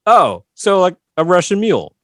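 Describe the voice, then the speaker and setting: A man's voice: sits high, huge pitch swings, moderate pace, dry recording, clean studio sound. A man co-hosting a comedy podcast, bantering playfully with teasing quips. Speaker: podcast co-host.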